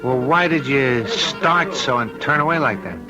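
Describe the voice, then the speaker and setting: An actor playing a protective, plain-spoken show-business agent, brisk and informal in a radio drama. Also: male voice, medium pitch, animated, highs cut off, music in the background, lightly acted. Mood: puzzled.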